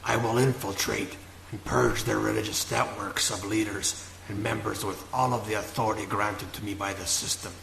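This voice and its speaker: A man's voice: on the low side, fairly even intonation, moderate pace, creaky, fairly bright tone, roomy sound, slight background noise, rough recording. An actor playing a zealous male official who makes solemn vows of duty. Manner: solemn, vowing. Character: zealous male official vowing to hunt believers.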